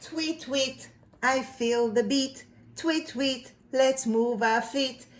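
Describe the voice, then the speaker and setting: A woman's voice: high voice, slow, voice pushed up. A woman voicing a cheerful little male songbird in a children's storybook, chanting in a rhythmic sing-song. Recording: echoey room with faint background noise.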